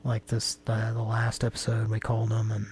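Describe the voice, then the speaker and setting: A man's voice: lowish voice, monotone, fairly quick, a little mellow, a little hiss or hum. A man hosting a prank-call show, chatting casually in a rambling, jokey and profane way. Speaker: host. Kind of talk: casual chat.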